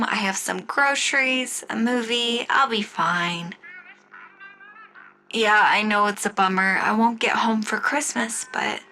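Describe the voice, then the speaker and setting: A woman's voice: a little high, pushed and bright, slight background noise, heavily acted, poor audio. A woman playing a friendly young woman home alone in a radio drama, talking in a casual, conversational way. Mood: cheerful.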